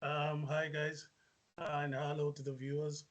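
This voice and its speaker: South african guy, musician, a bit higher voice, lots of ums and you knows. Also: monotone, a little slow, fairly bright tone. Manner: hesitant, many fillers and restarts. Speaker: musician guest. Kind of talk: interview answers.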